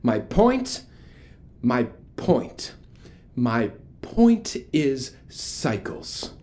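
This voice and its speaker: An actor playing a charismatic, ominous cult leader in a horror audio drama, preaching grandiosely with rhetorical pauses. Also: male voice, mid-range voice, sing-song, darkish tone. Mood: fervent, grandiose. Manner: theatrical, grandiose, pausing for rhetorical effect.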